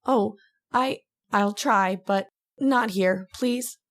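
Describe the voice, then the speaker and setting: Haunted heroine, female actor: medium pitch, fearful, earnest, halting, melodramatic radio horror delivery. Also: natural voice. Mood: distressed. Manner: halting, pleading. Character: distraught, haunted young woman confessing, earnest and fearful. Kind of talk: old-time radio drama acting.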